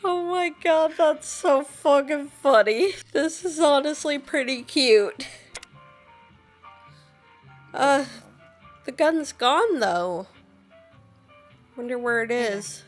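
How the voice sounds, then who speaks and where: A woman's voice: sits high, slow pace, long pauses, faint music behind. A woman playing a video game, reacting casually and informally in character. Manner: informal, sweary. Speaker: game player.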